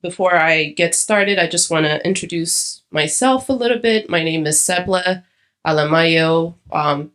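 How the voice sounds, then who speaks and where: A woman's voice: on the low side, a little slow, fairly bright tone. A young adult woman from Ethiopia giving a conversational conference talk, with many fillers and restarts. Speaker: conference speaker. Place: conference hall.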